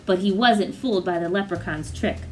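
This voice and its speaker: A woman storyteller reading a picture book aloud to children in an expressive, animated way. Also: lowish voice, fairly quick, soft background music, poor audio.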